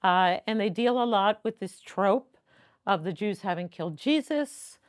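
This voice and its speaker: A woman who directs an early music ensemble, speaking in a documentary interview and pausing to find her words. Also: mid-range voice, animated. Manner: thoughtful, searching for words.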